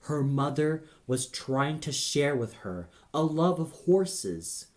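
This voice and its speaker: A man's voice: a little high, very animated, slow. A man narrating an audio play in a solemn, theatrical, scripted style.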